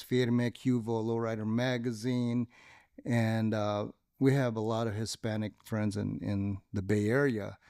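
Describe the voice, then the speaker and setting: Filipino-born man telling lowrider stories. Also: darkish tone, smooth, dry recording, clean studio sound. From Philippines. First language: Tagalog. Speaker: guest, lowrider club member. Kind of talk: casual interview storytelling.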